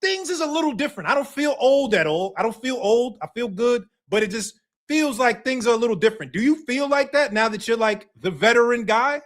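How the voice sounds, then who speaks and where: A man's voice: very high-pitched, expressive, fairly quick, fairly bright tone. A man in his late thirties hosting an interview, easygoing and agreeable as he asks questions. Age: 30s. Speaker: host. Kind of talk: casual interview talk.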